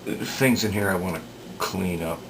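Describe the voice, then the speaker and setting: A man giving unscripted workbench commentary as a repair hobbyist, hesitant and halting as he thinks aloud. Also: low voice. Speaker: radio repair hobbyist demonstrating work. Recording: clear background noise.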